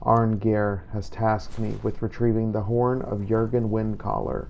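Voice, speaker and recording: A man's voice: on the low side, level tone, measured pace, gravelly, a little mellow. A man narrating a game playthrough in character as a hero, in a solemn, declarative manner. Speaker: gamer role-playing a hero. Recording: dull, slightly noisy home recording.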